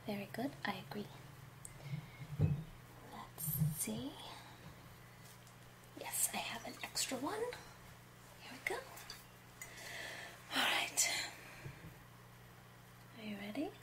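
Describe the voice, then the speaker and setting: A woman playing a genteel, confiding love-potion witch in an ASMR roleplay, speaking gently in a breathy half-whisper. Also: on the high side, animated. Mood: intimate, confiding. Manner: slow, gentle, unhurried, confiding. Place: small home room, indoors.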